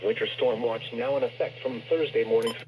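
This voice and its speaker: An automated man's voice reads a formal bulletin in an impersonal manner. It comes out of a weather radio speaker some distance from the mic, with clear noise over it. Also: medium pitch, steady tone, fairly quick, dull audio. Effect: played through a weather radio speaker. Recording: distant noisy radio reception, re-recorded in room.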